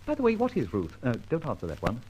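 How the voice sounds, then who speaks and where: An actor playing a dashing, flippant gentleman adventurer in an old-time radio detective drama, with a theatrical, wry and wordy manner. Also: medium pitch, huge pitch swings, fast, slight background noise, rough recording. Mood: playful, breezy.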